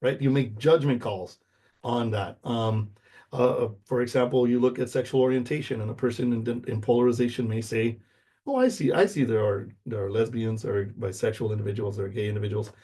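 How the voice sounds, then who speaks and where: Middle-aged man lecturing in a mellow, husky voice. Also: mid-range voice, steady tone, a little fast. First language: Spanish. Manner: explanatory, checks in with "okay?" and "right?". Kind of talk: informal recorded lecture.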